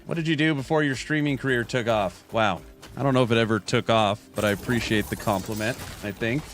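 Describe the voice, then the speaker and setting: A man's voice: medium pitch, brisk, a bit bright, soft background music. A man in his late thirties from California, streaming a game and joking around as he reads viewer chat aloud. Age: late 30s. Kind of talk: casual livestream chat while gaming.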